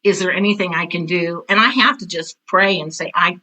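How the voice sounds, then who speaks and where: A woman's voice: on the low side, a little fast, pushed and bright, slight background noise, poor audio. An older woman talking casually as an interview guest, with a direct, intense and emphatic way of repeating phrases. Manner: self-described intense, direct. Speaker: guest, theater teacher and author.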